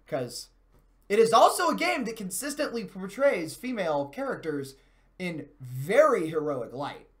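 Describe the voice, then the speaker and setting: An American man co-hosting a video game news show, giving casual but wordy, halting commentary in measured, somewhat formal words. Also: high voice, very animated.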